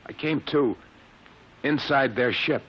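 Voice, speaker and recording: An actor in an old-time radio drama playing a shaken, earnest young test pilot, halting and insistent. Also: male voice, medium pitch, a little hiss or hum, natural voice. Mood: shaken, dazed. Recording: muffled old radio broadcast recording.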